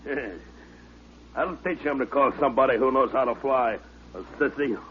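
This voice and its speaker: A man's voice: medium pitch, moderate pace, close to an everyday voice. An actor playing an indignant, hulking tough guy in an old-time radio drama. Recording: muffled, noisy old radio recording.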